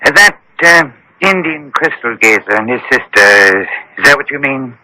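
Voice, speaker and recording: An actor playing a sensible family doctor in a radio drama, explaining things in a measured way. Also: male voice, mid-range voice, animated, sharp tone, no room sound, poor audio. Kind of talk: 1970s-80s radio drama acting.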